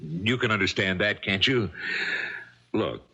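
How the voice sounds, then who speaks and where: An actor playing an anxious, guilt-ridden husband in a radio mystery, with a halting, agitated delivery full of stammered repetitions. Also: male voice, fairly low pitch, expressive, pushed and bright, slightly rough, highs cut off, heavily acted. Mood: pleading, weary. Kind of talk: 1970s radio mystery acting.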